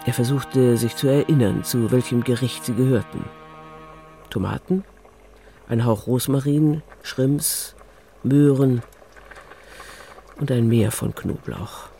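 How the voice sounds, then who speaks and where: A male narrator tells a crime story in a radio drama. His voice is dark and mellow at a medium pitch, reading scripted prose with soft music behind him. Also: takes it slowly, creaky, pauses often.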